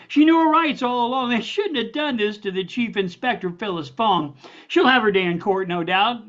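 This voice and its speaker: A man's voice: high voice, a bit bright. A man performing political poetry at an online open mic, with a theatrical, declamatory and satirical rhyming delivery. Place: online video call. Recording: dull online call audio.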